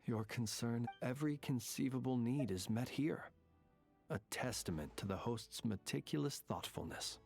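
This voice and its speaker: An actor playing a courteous, solemn gentleman in a video game, speaking in formal, ornate and measured phrases. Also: mid-range voice, creaky, lightly acted. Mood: courteous, gracious. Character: courteous, solemn gentleman who lived alone in a cemetery. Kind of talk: scripted video game character dialogue. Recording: game music behind the voice.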